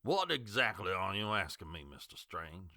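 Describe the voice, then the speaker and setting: An actor playing an older, skeptical small-town doctor in an audio drama, sardonic and condescending, given to lecturing. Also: male voice, sits low, sing-song, moderate pace, pushed and bright, dry recording, studio quality, heavily acted. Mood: indignant, sardonic.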